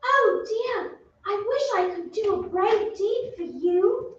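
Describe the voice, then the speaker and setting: A woman's voice: very high voice, slow. A woman playing a polite, tender-hearted young noble girl in an old-time radio drama, earnest and well-mannered. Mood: tender, sympathetic. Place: on stage in a theatre. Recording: distant, echoey stage recording, muffled.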